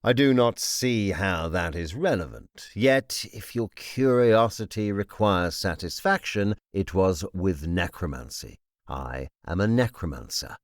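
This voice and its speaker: A man playing a composed, imperious necromancer lord in an audiobook, speaking formally and with measured, polite menace. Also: low voice, lively intonation, dry recording, studio quality. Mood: calm, commanding. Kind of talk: audiobook character acting.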